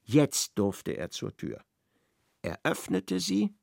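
Male radio-play narrator, measured and ironic, gravelly voice, dry studio sound. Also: medium pitch, wildly swooping pitch, a little mellow, dry recording.

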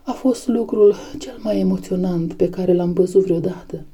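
A woman voicing a mournful, smooth-talking male pig with a hushed, breathy, sentimental delivery in a storybook reading. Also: on the low side, close to an everyday voice. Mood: tearful, sentimental.

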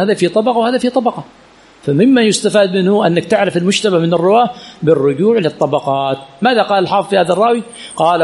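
A man's voice: high-pitched, a little mellow, slightly rough. A man teaching a lesson in a religious teaching center, often quizzing his students with rhetorical questions and repeating key words. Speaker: sheikh teaching hadith chain studies. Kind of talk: classroom lesson with Q&A.

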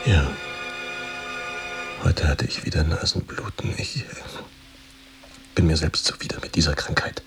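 An actor playing a weary, brooding, sickly poet in a radio drama, speaking haltingly and earnestly. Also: male voice, a bass voice, whispered, music in the background. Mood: tormented, weary. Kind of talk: intimate radio drama acting.

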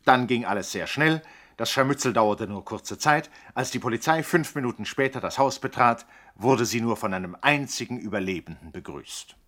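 An actor as a formal chronicler of a crime saga, narrating a 1960s radio play with deadpan, mock-serious precision. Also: male voice, medium pitch, normal speed. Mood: mock-solemn.

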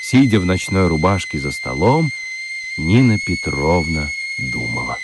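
A man's voice: low-pitched, wildly swooping pitch, a little slow, heavy background noise. A man narrating a children's radio story expressively from a script.

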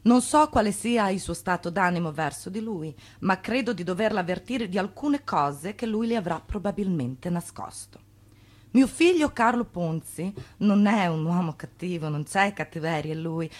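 Low-voiced, grave mother. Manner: measured, formal. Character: stern, grave mother writing a warning letter. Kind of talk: theatrical dramatic reading.

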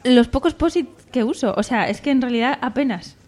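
Chatty Spanish woman, fairly high voice. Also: brisk, a little mellow. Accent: Spanish from Spain. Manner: informal, jokey, chatty. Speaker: radio show host. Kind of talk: casual talk show chat. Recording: music behind the talk.

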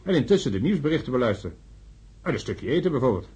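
Sceptical, lively radio drama man. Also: mid-range voice, quick pace, husky. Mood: brisk, practical. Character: sceptical, practical man calming his anxious companions. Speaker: character in a radio play. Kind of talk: Dutch radio drama acting.

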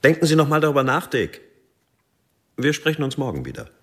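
A man's voice: a little high, sing-song, fairly quick, faint music behind. An actor playing a confrontational male police detective who speaks emphatically and builds pressure. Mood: pressing. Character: sharp, confrontational male lead investigator. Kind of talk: radio drama acting.

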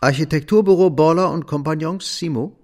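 An actor in a German radio drama playing a middle-aged architect, tired, businesslike, guarded and evasive. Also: male voice, on the high side, a little fast, over loud music, close to an everyday voice. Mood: surprised, hesitant.

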